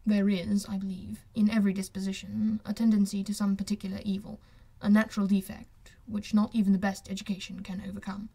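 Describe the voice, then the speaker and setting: A woman voicing a proud, reserved high-society gentleman in an audiobook, speaking in a measured, formal, restrained way. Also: level tone, husky. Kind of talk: audiobook character voice.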